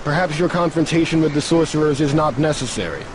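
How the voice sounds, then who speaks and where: A man playing an honourable older martial arts champion in a video game, formal, courteous and deliberate. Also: a little high, background noise, close to an everyday voice. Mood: earnest, concerned. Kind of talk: video game cutscene acting.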